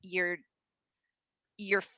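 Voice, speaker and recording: A middle-aged woman gives casual interview answers in a very bright, forward voice. She is frank and joking, stressing her points, and the sound is dry and close. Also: on the low side, little pitch movement, very slow, dry recording. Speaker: guest, voice actor and dialect teacher.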